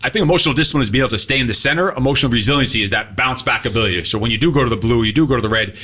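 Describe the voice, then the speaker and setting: Rapid husky guy, bright voice, blunt profane podcast talk. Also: mid-range voice. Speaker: podcast co-host. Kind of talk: casual motivational talk.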